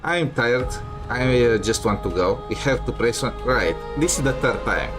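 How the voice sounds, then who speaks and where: A man's voice: medium pitch, animated, moderate pace, fairly bright tone. A man giving casual gameplay commentary, reading bits aloud, with a dry, sardonic manner. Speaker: game streamer. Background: game music.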